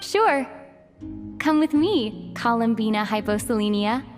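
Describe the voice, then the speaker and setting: Earnest, high-voiced young woman. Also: lively intonation, a little slow, music in the background. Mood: happy, tender. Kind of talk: video game cutscene acting.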